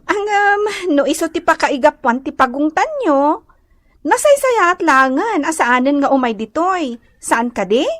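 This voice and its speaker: A girlish young Ilocano daughter of radio drama. Her voice is extremely high and very lively, sweet and playful, in a dutiful way. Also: measured pace, no room sound, clean studio sound, heavily acted. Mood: coaxing, playful. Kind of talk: comedic radio drama acting.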